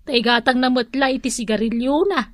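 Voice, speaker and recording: A woman's voice: sits high, fairly quick, no echo, natural voice. A woman playing a resigned, grumbling old mother with an Ilocano accent in a radio drama. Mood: plaintive.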